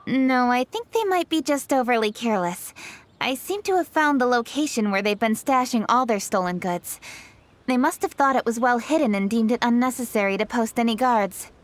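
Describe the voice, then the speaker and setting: A woman's voice: fairly high pitch, moderate pace, slight background noise, close to an everyday voice. A woman playing an earnest, determined young investigator in a video game, composed and formal.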